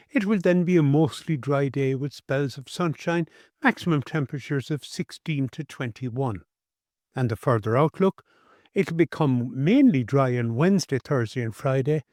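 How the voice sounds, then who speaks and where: A man's voice: medium pitch, darkish tone, no room sound, clean studio sound. A man with an Irish accent reading a scripted weather forecast for community radio.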